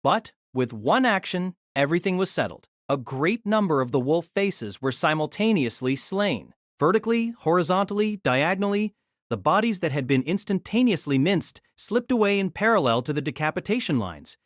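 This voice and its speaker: High-pitched male narrator, dry sound. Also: very animated, normal speed, no echo. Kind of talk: scripted dramatic narration.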